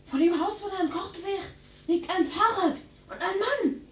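A woman's voice: extremely high pitch, normal speed. A woman playing a curious, eager twelve-year-old boy in a radio drama. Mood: alarmed. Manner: eager, childlike.

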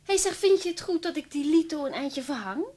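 A woman's voice: high voice, animated, a little fast, mellow. An actress playing a blunt, breezy mistress of an older man in a naturalistic radio drama, chatty and matter-of-fact. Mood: breezy, frank.

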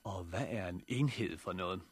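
Husky, wary survivor in radio drama. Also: male voice, low-pitched, no room sound. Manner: controlled, questioning, polite. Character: wary, pragmatic male survivor and farm leader. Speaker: radio actor. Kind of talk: 1960s Danish radio drama acting.

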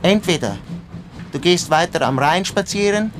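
A man's voice: sits high, lively intonation, normal speed, noisy background. An actor playing a jealous, reproachful young man in a German radio drama, speaking accusingly and insistently. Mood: bitter. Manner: accusing, insistent, repeats himself. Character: jealous, reproachful young boyfriend confronting his girlfriend.

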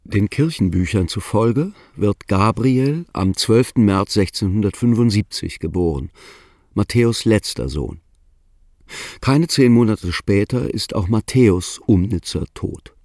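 A man narrating a radio play in a calm, measured, reflective way. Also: fairly low pitch, no room sound, clean studio sound.

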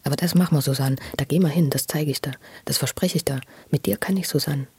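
A person telling personal memories in a spontaneous, confiding way, halting and often repeating words. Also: medium pitch, darkish tone, husky, dry recording. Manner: halting, repetitive, earnest, confiding. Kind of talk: intimate spontaneous storytelling in a documentary radio play.

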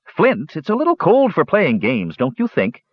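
A man voicing a young, impish and cheerful male kender in an audiobook, with a high, bouncy, teasing voice. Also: huge pitch swings, normal speed, dry recording, muffled recording.

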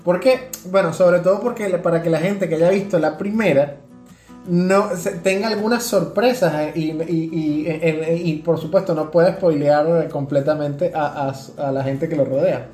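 A woman with a fairly low voice chats casually as a podcast co-host. She keeps prodding with questions and little interjections, in a roomy, echoing space with music behind her. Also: steady tone, normal speed, dark tone. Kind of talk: casual conversational podcast chat.

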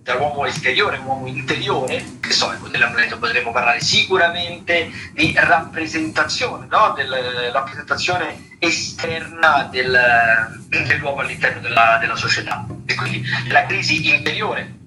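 Guy on radio doing theatre listings, kinda high voice, rambles and rephrases, music behind him. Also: recorded from a distance, poor audio. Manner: halting and digressive, rephrasing as he goes. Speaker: radio theatre critic reviewing and listing shows. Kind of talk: informal spoken radio rundown of theatre listings.

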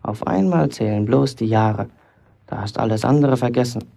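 An actor playing a defiant young apprentice bricklayer in a German radio play, lecturing sarcastically like a pompous prosecutor with rhetorical questions. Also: male voice, mid-range voice, normal speed, creaky, mellow. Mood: bitter, pitying.

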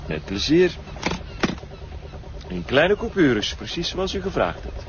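An actor playing an unruffled, formally polite and mocking criminal go-between in a TV crime drama. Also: male voice, on the high side, big pitch swings, slow pace. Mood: taunting. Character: smooth-talking, unruffled male go-between for a criminal. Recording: dull lo-fi outdoor scene, clear noise.

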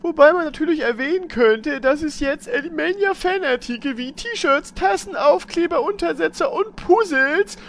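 A woman's voice: sits high, expressive, normal speed, hardly any pauses. An actress playing a female guild member in an amateur comedy audio drama. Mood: earnest.

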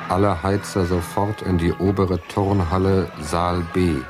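A man's voice: low voice, little pitch movement, a little slow. A man playing a ship's announcer in a radio play, giving terse, commanding orders. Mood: flat, commanding. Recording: music behind the voice. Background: loud music.

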